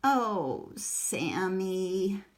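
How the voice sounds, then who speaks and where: A woman's voice: mid-range voice, expressive, very slow. A woman doing a sing-song, patient schoolteacher. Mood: gentle. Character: cheerful, patient female schoolteacher. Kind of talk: storybook read-aloud.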